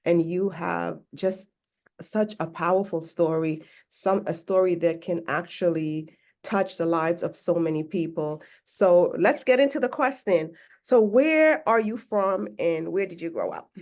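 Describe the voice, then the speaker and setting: A woman's voice: lowish voice, a little slow. A woman hosting a podcast interview, encouraging and speaking of God's grace. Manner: warm and encouraging, religious phrasing. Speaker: podcast host.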